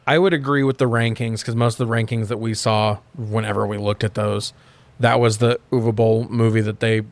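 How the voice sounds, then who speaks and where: A man's voice: lowish voice, flat delivery, normal speed, no room sound. A man talking casually and informally as a podcast co-host, rambling through his opinions and swearing now and then. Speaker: podcast co-host reviewing movies. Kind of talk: casual unscripted discussion.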